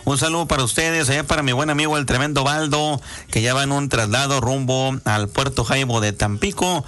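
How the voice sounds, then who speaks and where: A man with a Mexican Spanish accent hosting a radio show, hoarse-voiced, chatty and folksy as he reads out listener greetings. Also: medium pitch, steady tone, fairly quick, a bit bright. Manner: chatty, folksy, many fillers. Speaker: radio host. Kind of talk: casual radio chat reading listener greetings.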